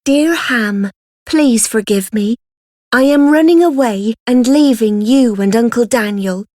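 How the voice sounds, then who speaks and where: A woman's voice: on the high side, a little slow, darkish tone, dry recording, clean studio sound, close to an everyday voice. A woman voicing a sorrowful young runaway in an audiobook novel, pleading and apologetic. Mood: remorseful, pleading.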